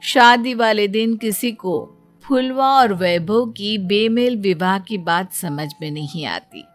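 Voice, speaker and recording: A woman narrates a scripted moral tale at a slow, measured pace. Her pitch sits in the middle for a female voice, and music plays behind her. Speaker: storyteller. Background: background music.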